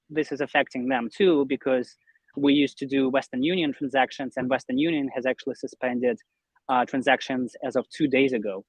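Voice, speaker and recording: A man's voice: medium pitch, no room sound, low-quality recording. A young man from Russia, an interview guest explaining his online business in a conversational way. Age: young adult.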